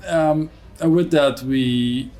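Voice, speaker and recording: A man's voice: on the high side, a little mellow. Dutch man explaining in an interview. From Netherlands. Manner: measured, explanatory, repeats words while forming thoughts. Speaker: chief executive of a hotel company, interview guest. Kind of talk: conversational interview answers.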